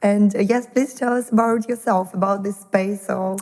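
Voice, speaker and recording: A woman podcast host, encouraging her guest and filling pauses with "uh". The recording has a long hall-like reverb. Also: medium pitch, steady tone, darkish tone. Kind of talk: hosting an interview.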